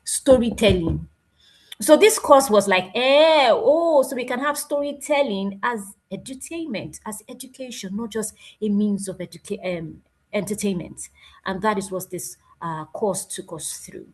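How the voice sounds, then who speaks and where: Nigerian woman presenting, animated and emphatic. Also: mid-range voice. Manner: animated, emphatic, with exclamations and repetition. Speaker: presenter at an online graduation event. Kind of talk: informal spoken presentation.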